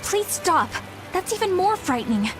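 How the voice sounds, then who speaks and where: An earnest young female knight in a video game. Her voice is high and swings expressively, with a prim, skeptical edge that easily turns flustered. Music plays underneath. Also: very animated, normal speed. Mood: frightened.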